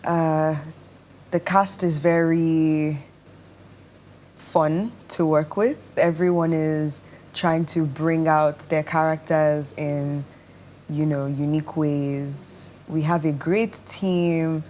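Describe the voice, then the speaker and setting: A woman's voice: low voice, steady tone, slow pace, warm tone, lo-fi sound, slight background noise. A woman with a Nigerian accent speaking casually as an actress being interviewed on television. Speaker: interview guest, stage actress.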